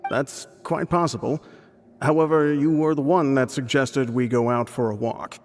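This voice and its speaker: A man plays a courteous attendant in a video game, calm and considerate. His voice is mid-pitched. A faint noise sits behind it. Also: moderate pace, close to an everyday voice. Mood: gentle. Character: calm, courteous male attendant to a young lady.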